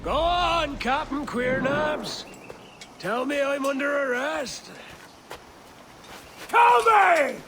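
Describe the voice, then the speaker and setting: An actor playing a gruff, mocking veteran pirate with a gravelly voice and theatrical swagger in a video game. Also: male voice, very high-pitched, expressive, drawn-out words, pauses often, loud music behind the voice, heavily acted. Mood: taunting, fierce. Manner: taunting, theatrical. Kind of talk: video game cutscene acting.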